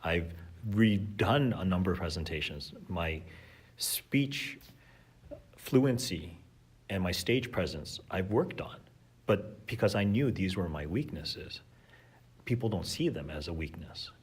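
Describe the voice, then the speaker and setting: A man's voice: low voice, expressive, slow, husky. A man, a teacher, talking earnestly and thoughtfully as a podcast guest. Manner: earnest, thoughtful, with fillers and restarts. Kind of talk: reflective conversational talk.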